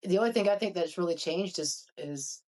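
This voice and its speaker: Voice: medium pitch, fairly quick, darkish tone. A podcast guest reflecting casually in conversation, with loose, repetitive phrasing. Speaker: podcast guest, returning hall member.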